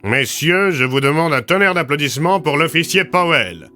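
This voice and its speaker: An actor playing a commanding military chief in an audio drama, formal, gracious and given to speech-making. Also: male voice, a little high, lively intonation, moderate pace, bright, forceful tone, soft background music. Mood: formal, congratulatory. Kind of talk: French audio fiction acting.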